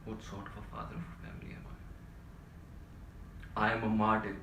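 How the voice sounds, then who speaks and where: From across an echoey room comes a desperate, worn-out civil servant played by a male actor in a theatrical drama. His low voice is full of self-pity and melodrama, pleading and ranting over a clearly noisy recording. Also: completely flat delivery, fairly quick, lightly acted. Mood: hysterical despair. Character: desperate, exhausted, self-pitying family man and civil servant. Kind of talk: theatrical stage-play dialogue. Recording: echoey room, distant mic, loud noise.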